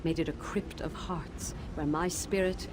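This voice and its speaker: Fantasy game ghost: wronged wife and teacher, grieving, lowish female voice, theatrical gravity, noisy backdrop. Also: steady tone, measured pace. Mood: mournful, despairing. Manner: mournful, solemn, dramatic. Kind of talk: fantasy video game voice acting.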